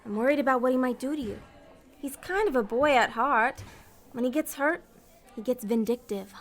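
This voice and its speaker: An actress plays a bossy female schemer from Hell in an audio comedy. Her voice sits a bit high, and she is brisk and persuasive, with an exasperated edge. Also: lively intonation, soft background music. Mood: manipulative. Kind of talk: comedic audio drama acting.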